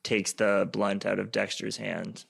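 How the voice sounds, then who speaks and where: Slow, creaky-voiced man narrating. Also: lowish voice, level tone. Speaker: narrator and player in a radio play. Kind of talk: scripted narration of stage action.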